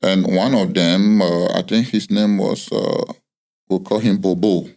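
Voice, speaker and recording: A very low male voice, hosting a football podcast. He speaks in a measured way, building long reflective questions. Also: steady tone, vocal fry, warm tone. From Nigeria. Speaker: podcast host.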